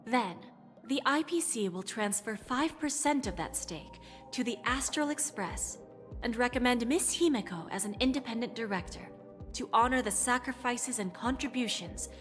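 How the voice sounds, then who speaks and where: Actress as a composed, calculating corporate negotiator. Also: female voice, a little high, lively intonation, faint music behind, natural voice. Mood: formal, gracious. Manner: composed, formal, deliberate. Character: poised, calculating female corporate executive negotiator. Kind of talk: scripted video game voice acting.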